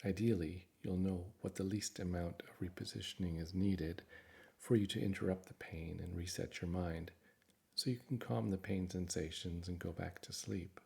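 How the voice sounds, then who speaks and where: Guy doing guided meditation, lowish voice w lots of vocal fry, gentle and reassuring. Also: steady tone, normal speed.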